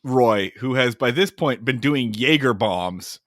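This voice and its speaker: A man's voice: mid-range voice, measured pace, no echo. Guy on a podcast ranting about an old movie, voice bounces all over, dry close mic, swears a lot. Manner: animated, acts out scenes in funny voices, swears. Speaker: podcast co-host. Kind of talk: casual chat recapping a film.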